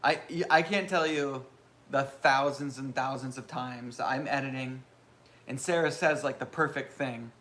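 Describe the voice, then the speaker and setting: A man in his thirties with a loud voice, hosting a casual live question-and-answer chat and rambling with self-mocking humor. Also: medium pitch, unhurried. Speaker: channel host.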